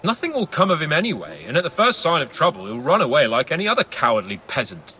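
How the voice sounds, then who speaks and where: A man's voice: on the high side, wildly swooping pitch, fast, sharp tone, exaggerated voice, rough recording. A man playing a haughty, sneering young medieval nobleman in a video game, with a condescending, taunting manner. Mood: contemptuous. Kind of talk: video game cutscene acting. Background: faint background music.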